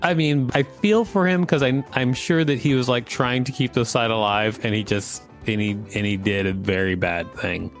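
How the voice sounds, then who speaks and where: Male podcast co-host, mid-range voice, loose jokey read-aloud, soft guitar music underneath. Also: medium pitch, moderate pace, few pauses. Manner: informal, joking, stumbles over words. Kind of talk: reading an article aloud with casual banter.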